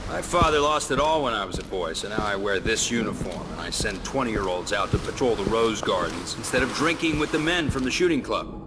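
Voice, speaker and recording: A man's voice: lowish voice, animated, brisk, background noise, natural voice. An actor playing a treacherous, embittered aristocratic guard captain in a video game, a man musing smugly and greedily. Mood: bitter, smug. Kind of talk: dramatic video game acting. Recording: music behind the voice.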